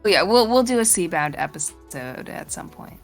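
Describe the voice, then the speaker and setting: A woman's voice: fairly low pitch, expressive, normal speed, creaky, faint music behind. A woman co-hosting a fan podcast in casual, conversational speech. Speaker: podcast co-host.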